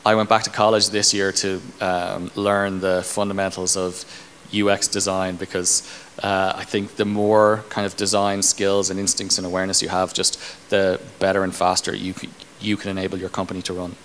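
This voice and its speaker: A man in his thirties with a fairly low voice speaks informally on a conference stage. His handheld mic picks up the hall's reverb and some room noise. He explains things in a loose, halting way. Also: completely flat delivery, fairly bright tone. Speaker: tech company engineering leader being interviewed. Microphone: handheld microphone. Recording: echoey hall, clear background room noise.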